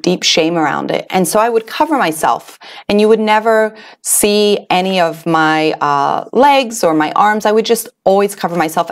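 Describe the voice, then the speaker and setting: A middle-aged woman from Switzerland hosting a webinar, telling a personal story in an earnest, confiding way with fillers and restarts. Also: lowish voice. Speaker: webinar host. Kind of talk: personal story told to an online audience.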